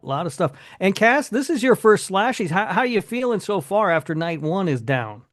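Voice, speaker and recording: A man with a slightly high voice chats casually as a podcast co-host. The recording is close and dry, with very little room. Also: big pitch swings, normal speed, dry recording. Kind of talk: casual chat.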